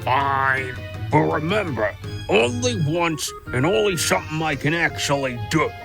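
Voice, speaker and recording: An actor playing a huge, pushy, boastful squirrel in an audio drama, rough-voiced, with a brash grumbling drawl. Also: medium pitch, expressive, a little slow, over music. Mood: grumpy. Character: huge pushy boastful squirrel who likes to scare travelers. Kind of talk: audio drama character acting.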